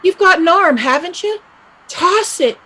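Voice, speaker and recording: A woman's voice: extremely high pitch, expressive, measured pace, pushed and bright, heavily acted. A woman acting a restless, dreamy and bitter young Irish emigrant in a play reading, with an emphatic, lyrical delivery. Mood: goading, insistent.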